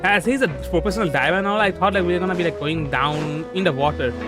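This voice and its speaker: A male game streamer chats casually as he plays. His voice is fairly high for a man, with music clearly playing behind it. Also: fast. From India. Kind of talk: casual live commentary.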